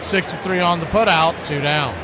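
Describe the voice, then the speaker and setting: Guy doing live baseball radio calls, a bit high pitched, colloquial, really noisy behind him. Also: normal speed. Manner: conversational, colloquial asides between calls. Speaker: baseball play-by-play announcer. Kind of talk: live sports commentary. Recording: very noisy background, outdoor-like ambience.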